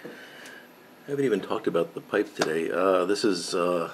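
A man's voice: low-pitched, moderate pace, background noise. A middle-aged man chatting casually to camera from his home workshop, rambling and often correcting himself. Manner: rambling and self-correcting, with frequent fillers. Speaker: pipe-smoking woodworking channel host. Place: home workshop with pegboard of tools.

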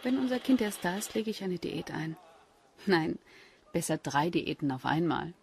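Creaky-voiced, playful expectant mother. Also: fairly low pitch, expressive, normal speed, darkish tone, a little hiss or hum. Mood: happy, hopeful. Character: eager, good-humoured pregnant wife. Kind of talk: German radio drama acting.

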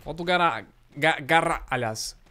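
Brazilian man gaming, slightly high voice. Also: normal speed, sharp tone. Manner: slangy, exclamatory, swearing. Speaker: gaming streamer. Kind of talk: casual live game commentary. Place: small indoor room. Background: video game soundtrack and effects.

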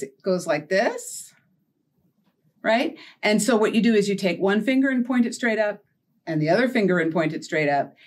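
Animated, high-voiced American storytime woman. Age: middle-aged. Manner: animated, encouraging, addresses young children with questions. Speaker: children's librarian leading storytime. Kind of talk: children's storytime, simple storytelling and teaching. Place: indoor storytime set with backdrop and felt board.

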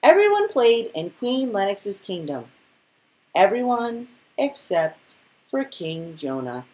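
A woman reading a children's picture book aloud in an expressive storytelling style. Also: medium pitch, takes it slowly. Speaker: storybook reader.

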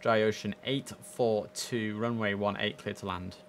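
A man's voice: on the low side, fairly even intonation, measured pace, background noise. A young man chatting casually and easily as he hosts a live gaming stream, speaking into a headset.

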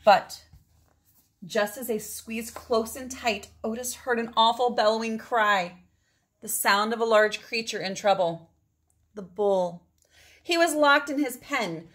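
A middle-aged woman reads a children's storybook aloud, with dramatic phrasing and drawn-out words for emphasis. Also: on the high side, fairly bright tone. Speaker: storybook reader. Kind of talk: expressive read-aloud of a children's picture book.

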